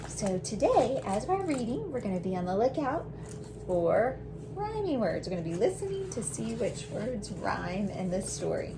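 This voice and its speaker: A woman's voice: a little high, very animated, moderate pace, noisy background. A woman teacher giving a read-aloud phonics lesson to young children, explaining in simple words with examples.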